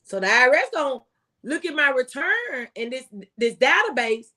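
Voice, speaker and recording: A woman's voice: sits high, very animated, normal speed, sharp tone. An American woman speaking as a former tax agent, giving folksy, emphatic advice and repeating phrases for stress. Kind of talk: impassioned conversational tax advice.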